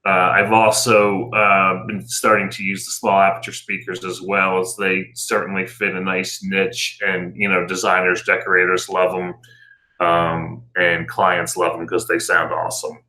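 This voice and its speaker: A man's voice: sits low, completely flat delivery, a little slow, sharp tone, low-quality recording. A middle-aged American man giving conversational answers as an interview guest and business owner.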